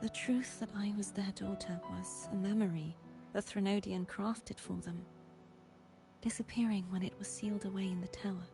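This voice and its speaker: Gentle, wistful young lady knight acted by a woman, music behind. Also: medium pitch, steady tone, gravelly, close to an everyday voice. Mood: wistful, resigned. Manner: measured, softly formal, wistful delivery. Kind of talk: scripted video game voice acting. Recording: game audio with music underneath.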